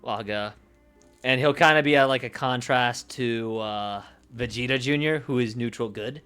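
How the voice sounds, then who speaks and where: A man livestreaming casually, thinking aloud in a halting way and often trailing off mid-thought. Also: medium pitch, a bit bright, smooth, faint music behind. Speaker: streamer.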